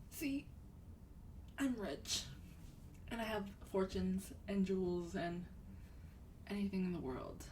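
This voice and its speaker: A woman playing a sweet, wealthy vampire in a soft-spoken ASMR roleplay, breathy and often near a whisper, gently coaxing with a slightly haughty air. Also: mid-range voice, far from the mic, slight background noise, low-quality recording. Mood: wry, confessing.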